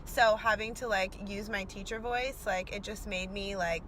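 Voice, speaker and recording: A young woman vlogging to her camera. She is losing her voice and sounds raspy, and she gushes with excitement and fills her talk with "like". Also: mid-range voice, normal speed, noisy background. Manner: excited, gushing, many "like" fillers. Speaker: vlogger and teacher. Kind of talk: casual talking-to-camera vlog.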